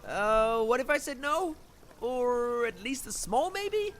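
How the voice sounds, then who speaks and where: A man puts on a cowardly, cheeky young hooligan for an audiobook. The voice is extremely high for a man and jumps around, snickering over faint noise. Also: animated, slow pace, fairly bright tone, heavily acted. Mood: sheepish. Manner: snickering, mock-innocent.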